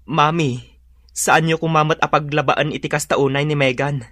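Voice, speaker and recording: An actor playing a concerned young husband in an Ilocano radio drama. His voice is a little high and closely recorded, earnest and placating, with an Ilocano accent. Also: steady tone, moderate pace, fairly bright tone, no echo, clean studio sound, lightly acted. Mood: pleading, protesting. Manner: earnest, questioning.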